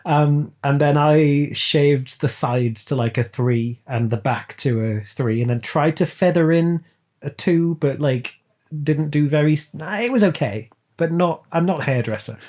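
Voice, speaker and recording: A man's voice: a little high, a little slow, darkish tone. A British man chatting casually as a podcast guest, playful and performative in his banter. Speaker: podcast guest, audio drama producer. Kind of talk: casual chat. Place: remote online call.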